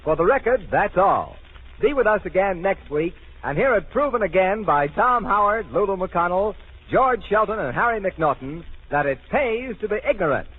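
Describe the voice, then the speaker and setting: A man's voice: high voice, expressive, moderate pace, husky, narrow, tinny audio. A man announcing a radio programme's sign-off in a 1940s broadcast style. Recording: thin, faintly noisy 1940s radio recording.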